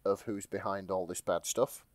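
A man's voice: sits low, fairly even intonation, moderate pace, dry recording. A man podcast host with a wry, explanatory manner, chatting casually and reading aloud for laughs. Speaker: podcast host reading and recapping fan fiction. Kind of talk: casual comic chat with read-aloud.

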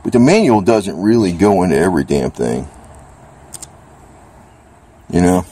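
A man's voice: low-pitched, big pitch swings, measured pace, warm tone. A man giving a casual, rambling hands-on review in an informal, slangy way with some mild cursing. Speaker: product reviewer. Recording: clear background noise, outdoor ambience.

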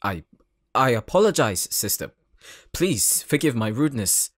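A man's voice: mid-range voice, sing-song, measured pace, no room sound, studio quality. Man acting a haughty, uptight forge master. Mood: suspicious, apologetic. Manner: formal, clipped, haughty. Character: stern, proud, uptight forge master, elder half-brother. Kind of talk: fantasy audio roleplay acting.

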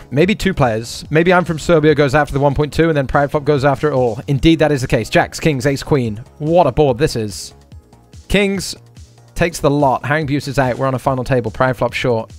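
A man's voice: on the high side, moderate pace, a little mellow, soft background music. A man hosting a poker stream, talking through each hand aloud and repeating short catchphrases. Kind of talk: live poker stream commentary.

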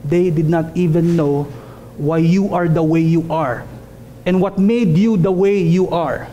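A man in his thirties preaches from a pulpit in a small church hall. His voice sits high and mellow, and he delivers his points earnestly, repeating key phrases for weight. A faint hum of the room sits under the headset mic. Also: unhurried, dull audio. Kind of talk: sermon.

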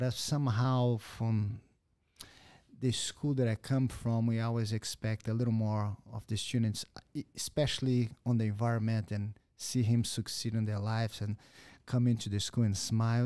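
Low mellow-voiced host, halting phrasing. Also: male voice, steady tone. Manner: searching, halting phrasing with non-native grammar. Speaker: podcast host and jiu-jitsu instructor. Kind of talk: interview conversation. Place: podcast studio in a martial arts gym. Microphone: boom-arm studio mic with headphones.

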